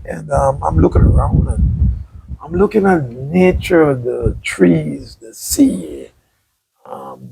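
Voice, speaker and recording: A man's voice: on the high side, gravelly, a little mellow, husky, rough recording. A man from Sint Maarten hosting a casual livestream interview outdoors, chatty and rambling. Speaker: talk show host. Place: outdoors by the beach.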